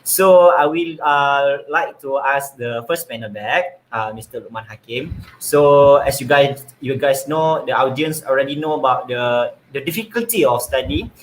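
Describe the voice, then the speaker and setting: A man's voice: medium pitch, poor audio. A young man from Malaysia moderating an online student forum, thanking speakers and introducing panelists with frequent hesitations. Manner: hesitant, many filler pauses. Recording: faint background noise.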